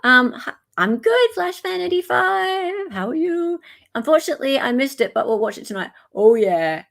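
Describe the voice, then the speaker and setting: A woman's voice: sits high, very animated, normal speed, gravelly. An Australian woman hosting a livestream, talking in a casual, chatty way and commenting informally to her viewers. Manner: chatty, informal, greets viewers mid-talk. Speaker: livestream host.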